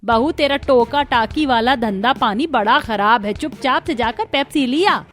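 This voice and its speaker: A woman's voice: a little high, normal speed, a bit bright, slight background noise, natural voice. Woman as scolding older mother-in-law. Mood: angry. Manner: nagging, idiom-heavy scolding.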